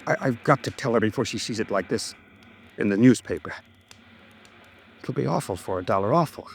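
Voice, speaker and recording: A man playing a hot-tempered, defensive fellow in a 1950s radio drama, with halting bluster. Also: on the low side, lively intonation, creaky, slightly rough, heavily acted. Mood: shocked, upset.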